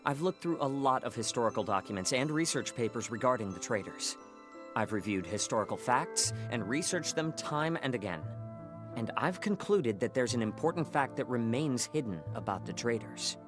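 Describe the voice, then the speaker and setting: A man's voice: medium pitch, animated, normal speed, lightly acted. Man acting an earnest young scholar, music behind. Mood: earnest, questioning. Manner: earnest, formal, insistent. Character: earnest, idealistic young male scholar pleading for truth. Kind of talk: dubbed video game cutscene acting.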